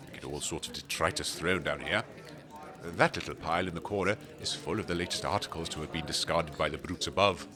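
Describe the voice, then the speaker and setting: An actor playing a pompous, courtly gentleman host in a comedic audio drama, speaking in flowery, formal, theatrical phrases. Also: a bass voice, brisk, a crowd in the background. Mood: courteous, drily amused.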